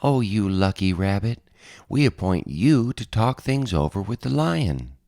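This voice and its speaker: A man's voice: on the low side, very animated, unhurried, vocal fry, warm tone, no room sound, clean studio sound, heavily acted. A man voicing sly, coaxing big wild animals in a picture-book read-aloud.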